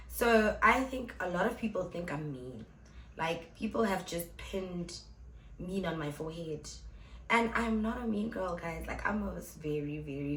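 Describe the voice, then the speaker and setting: A woman's voice: lowish voice, a bit bright, slight background noise. Chatty young woman in an echoey room. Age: 20s. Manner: chatty, blunt and playful, addressing viewers as guys. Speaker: vlogger and club host. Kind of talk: casual Q&A vlog to camera.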